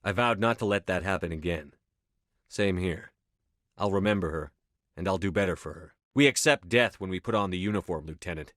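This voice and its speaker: Video game military commander: low-pitched man, dry studio sound, resolute, measured, quietly authoritative. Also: no room sound. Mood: firm, commanding. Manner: measured, firm, clipped military delivery. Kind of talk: scripted video game dialogue.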